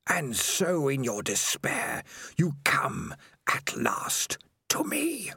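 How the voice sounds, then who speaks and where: A man voicing a sinister, hidden giant rat who speaks in a slow, hissing whisper. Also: fairly high pitch, huge pitch swings, vocal fry, bright, forceful tone, breathy edge, dry recording, voice pushed up, heavily acted. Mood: menacing. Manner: slow, drawn-out, coaxing.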